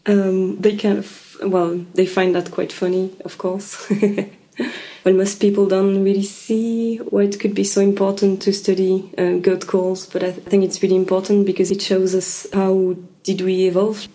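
A woman from Switzerland, a biologist answering interview questions thoughtfully, with frequent ums and pauses. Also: mid-range voice, level tone, dark tone. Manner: thoughtful, hesitant, many ums and uhs. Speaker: biologist guest.

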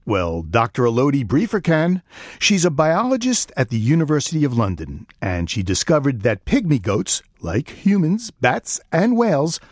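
Radio host, male, New York accent; very animated delivery, dry studio sound. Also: medium pitch, normal speed, no echo. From Brooklyn, New York. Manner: curious, playful questioning with a widely swinging voice. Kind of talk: public radio interview. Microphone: studio microphone.

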